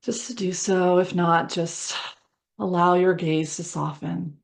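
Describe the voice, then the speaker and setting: Woman, lowish pitch, unhurried pace, soothing guided visualization, conversational phrasing with pauses. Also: steady tone, vocal fry. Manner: soothing, conversational, pausing between short phrases. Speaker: guided meditation leader. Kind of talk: guided meditation, gentle improvised visualization.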